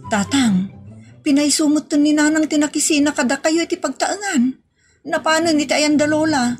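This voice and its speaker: A woman's voice: high voice, normal speed, faint music behind, voice pushed up, natural voice. A woman doing a worried young daughter. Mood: pleading. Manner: pleading, anxious. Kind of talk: comedic audio drama acting.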